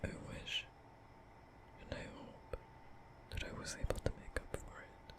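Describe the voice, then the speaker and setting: A man playing a nervous, gentle young vampire in a whispered ASMR roleplay, soft and breathy, speaking in a tender, hesitant, apologetic way. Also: measured pace, close to an everyday voice. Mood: apologetic, soothing. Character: nervous gentle young male vampire comforting a sick human.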